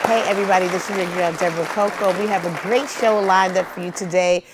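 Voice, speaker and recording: A woman's voice: fairly low pitch, steady tone, fast, a crowd in the background, background noise. A woman hosting a promotional interview show, speaking in a chatty way and addressing people directly. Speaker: TV show host.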